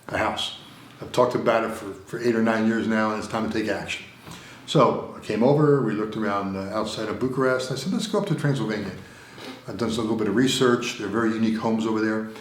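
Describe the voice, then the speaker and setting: A middle-aged American man gives a casual interview, rambling and repeating himself with plenty of fillers. His voice sits a little low. The studio around him adds a noticeable roomy echo. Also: a little hiss or hum. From United States. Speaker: interview guest. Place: recording studio room.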